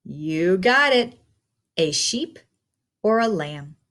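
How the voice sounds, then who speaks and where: Slow-paced woman reading to young children. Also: lowish voice, expressive, fairly bright tone. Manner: simple, deliberate, instructive delivery with questions to the listener. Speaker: teacher reading a picture book to young children. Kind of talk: read-aloud of a children's book.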